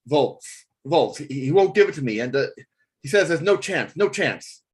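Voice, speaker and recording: A man's voice: a little high, animated, lightly acted. An actor playing a desperate, whining washed-up male singer, stammering his way through a script table read. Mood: dejected, pleading. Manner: halting, stammering.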